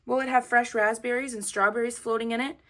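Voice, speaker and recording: A woman's voice: fairly high pitch, steady tone, fast talker, pushed and bright, voice pushed up. Woman as snobbish rich little schoolgirl. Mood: boastful. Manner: haughty, boastful.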